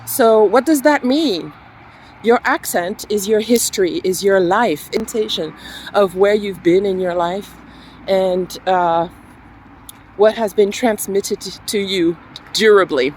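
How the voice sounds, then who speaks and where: Woman, English teacher, mixed French-British-American accent, slow, encouraging, faint street noise. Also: mid-range voice, a little mellow. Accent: mixed, French with British and American traces. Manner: slow, unhurried, encouraging, with many fillers. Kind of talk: casual spoken lesson to camera.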